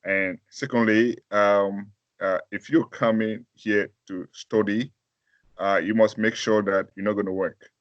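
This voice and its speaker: Male pastor, from Africa, deep low voice, halting and full of restarts, dry, dull call audio. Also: fairly even intonation, dry recording. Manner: halting, many fillers and restarts. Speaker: pastor and interview guest. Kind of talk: casual interview answers.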